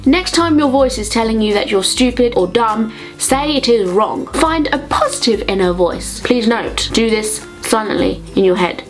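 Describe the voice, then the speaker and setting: High-voiced young southern English woman vlogger. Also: animated, normal speed, soft background music. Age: young adult. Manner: playful, sarcastic asides with mild swearing. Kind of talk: chatty comedic vlog talk to viewers.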